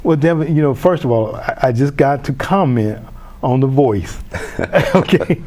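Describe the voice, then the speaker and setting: A man's voice: mid-range voice, animated, normal speed, dark tone. A man hosting a community TV interview, chatty and enthusiastic, often restarting his sentences. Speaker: host.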